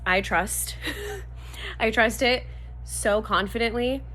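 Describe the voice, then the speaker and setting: A woman's voice: a little high, takes it slowly, bright, forceful tone. A young woman talking casually to camera at home, chatty and upbeat, a bit nasal from a cold. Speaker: vlogger. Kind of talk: casual vlog talk to camera.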